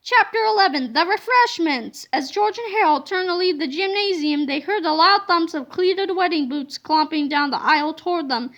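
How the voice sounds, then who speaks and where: A woman reading a children's book aloud as an expressive, emphatic storyteller. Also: very high-pitched, normal speed, hardly any pauses.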